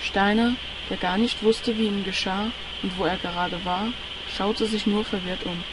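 A woman's voice: mid-range voice, steady tone, moderate pace, very noisy. A woman reading scripted narration for an audio drama. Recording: music under the voice. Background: music.

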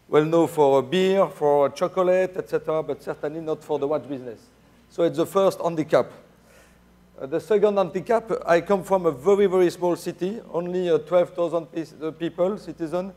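A man in his forties with a Belgian French accent, giving a conference talk on a dark stage with many fillers and restarts. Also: fairly high pitch. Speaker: keynote speaker. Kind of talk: conference presentation.

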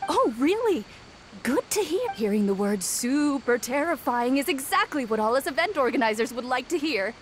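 A woman's voice: sits high, sing-song, moderate pace, noisy background. A woman voicing a mysterious shrine maiden with a theatrical, coolly taunting and sinister manner in an anime video game. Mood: nonchalant, ominous.

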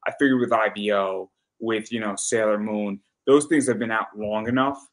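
Low-voiced, halting American man. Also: steady tone. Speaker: interviewer, host. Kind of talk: casual interview chat. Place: plain room, indoors, video call.

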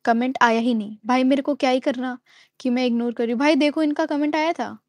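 A woman's voice: high-pitched, steady tone, fast talker, darkish tone, dry recording, clean studio sound. A young woman chatting playfully on a home live stream, reading out comments and giggling often. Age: young adult. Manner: giggly, playful, switches into English. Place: indoors at home, small room. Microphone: wired earphone mic on a phone.

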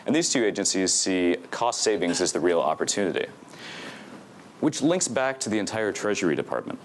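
A man's voice: sits low, big pitch swings, brisk, a little hiss or hum. A young man giving an academic conference presentation from a podium microphone, speaking fluently with frequent fillers. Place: lecture hall stage at a podium.

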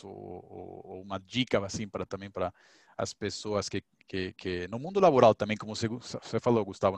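Guy in his 30s rambling fast on a video call headset, lots of restarts. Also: on the low side, gravelly, no room sound, studio quality. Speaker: engineer. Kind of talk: panel talk on video call. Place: indoors, home office with shelves.

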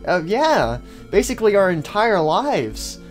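A man's voice: sits high, sing-song, measured pace, faint music behind. A man voicing a chirpy, friendly, oblivious female toy chicken animatronic in a comedy cartoon, chatting in a flustered, rambling way. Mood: cheerful, casual. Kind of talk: amateur comedy cartoon dialogue.

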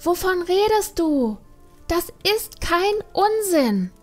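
Woman acting a slow, defiant young panda girl. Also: very high voice, very animated, soft background music, heavily acted. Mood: indignant. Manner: sulky, protesting. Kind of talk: acted fantasy audiobook dialogue.

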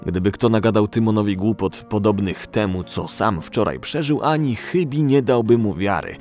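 A man's voice: on the low side, normal speed, darkish tone. A man narrating a story in a scripted storytelling style for an audio drama. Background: music.

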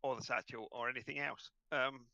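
A man's voice: medium pitch, fairly even intonation, fairly quick, creaky, dull audio, background noise. A man casually running an online tabletop game as its game master.

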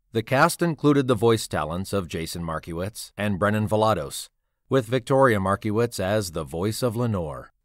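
A man giving a formal, measured scripted announcement as a credits reader. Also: on the low side, no echo, studio quality. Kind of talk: scripted narration.

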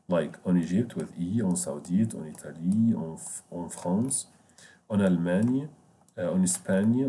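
Man, deep mellow voice, slow repetitive classroom explaining, lots of fillers. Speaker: French teacher. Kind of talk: online screen-share lesson.

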